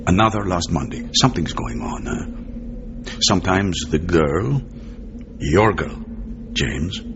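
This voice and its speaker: An actor playing a genial, worldly Turkish spymaster in a radio drama, often tagging phrases with a questioning huh. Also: male voice, sits low, lively intonation, slow, heavily acted. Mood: conspiratorial. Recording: dull lo-fi sound with clear noise.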